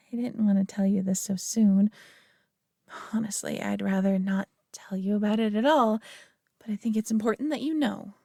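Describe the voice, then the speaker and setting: Girl voice acting a proud alien lady for roleplay audio, slow n deliberate, bit creaky, possessive but caring. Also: medium pitch, steady tone, dark tone, no echo, clean studio sound. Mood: earnest, solemn. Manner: haughty, condescending yet tender, deliberate. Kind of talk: scripted roleplay audio drama monologue.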